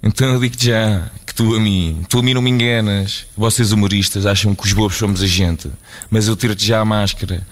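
A man's voice: lowish voice, lightly acted. A man playing a pompous, aggrieved internet troll turned inquisitor in a comedy radio play, accusatory and mock-erudite, with a European Portuguese accent.

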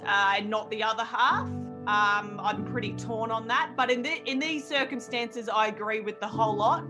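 A young woman chats in an interview, self-deprecating and quick to joke. She rambles with fillers at an ordinary middle pitch. Music plays under her voice. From Australia. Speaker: radio host guest. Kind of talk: casual interview chat.

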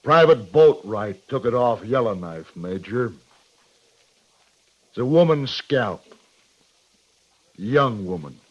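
Muffled old-radio American cavalry captain. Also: male voice, medium pitch, animated, takes it slowly, long pauses. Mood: restrained, formal. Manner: terse, military, controlled. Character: firm, sombre US cavalry captain, terse and steady. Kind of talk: 1950s radio western acting. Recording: muffled noisy 1950s radio recording.

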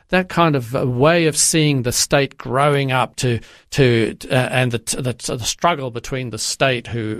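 Halting older man, gravelly voice. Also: mid-range voice, no echo, clean studio sound. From Zimbabwe. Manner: halting, many restarts and fillers. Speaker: guest. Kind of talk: reflective interview answers.

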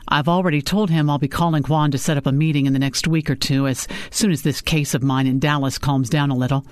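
A woman's voice: low-pitched, fairly even intonation, quick pace, a little mellow, dry recording, close to an everyday voice. A woman voicing a brusque, sarcastic male law firm boss in an audiobook, curt and commanding. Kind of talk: audiobook character dialogue.

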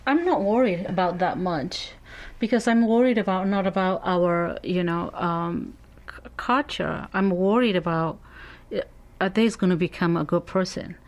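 A woman from Burma with a Burmese accent, speaking earnestly as an interview guest and pausing often to find her words. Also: lowish voice, dull audio. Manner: earnest, searching for words, many "you know" fillers. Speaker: interview guest, community advocate. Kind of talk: conversational interview.

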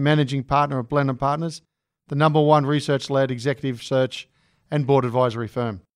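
A man hosting a podcast, reading a scripted introduction and asking interview questions in a measured way. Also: on the high side, clear, resonant tone, no room sound, studio quality. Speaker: podcast host.

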